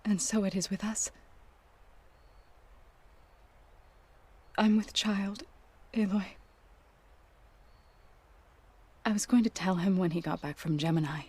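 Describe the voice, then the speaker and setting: A woman playing a gentle, grieving tribeswoman in a video game, speaking in a soft, measured, reverent way. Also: medium pitch, fairly even intonation, no room sound, lightly acted. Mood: solemn, grieving. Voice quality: soft, breathy. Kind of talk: video game cinematic acting.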